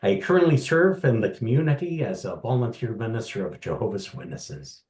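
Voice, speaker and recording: A man in his sixties, a volunteer minister, giving a slow, measured self-introduction. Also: mid-range voice, big pitch swings, a little mellow, a little hiss or hum. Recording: echoey, from far off the mic.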